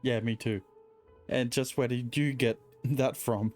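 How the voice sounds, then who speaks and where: A man's voice: medium pitch, fairly even intonation, measured pace, darkish tone. A man streaming a game, reading the story aloud and joking between lines. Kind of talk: reading game dialogue aloud with casual commentary. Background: game music.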